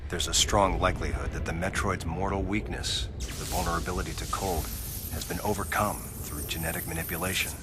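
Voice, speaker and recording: An actor playing a grave, calm military commander in a sci-fi game, speaking in a measured, formal, authoritative way. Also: male voice, sits low, noisy background, close to an everyday voice. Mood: grave, analytical. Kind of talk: dramatic video game cutscene dialogue.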